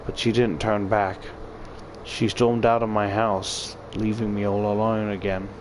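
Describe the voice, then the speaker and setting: A man's voice: lowish voice, flat delivery, unhurried, noisy background. A man narrating a first-person story dramatically and expressively, as if reading a visual novel aloud. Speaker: visual novel reader, YouTuber. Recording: loud background music. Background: loud music.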